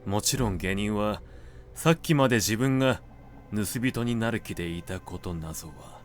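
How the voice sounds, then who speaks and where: A man narrating classic literary fiction from a script, in measured, deliberate dramatic phrasing. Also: on the low side.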